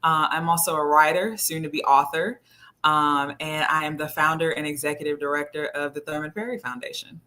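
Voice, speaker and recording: Bright-voiced woman, easygoing. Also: sits low. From Louisiana. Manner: easygoing, quick to laugh. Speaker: guest. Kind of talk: casual panel chat.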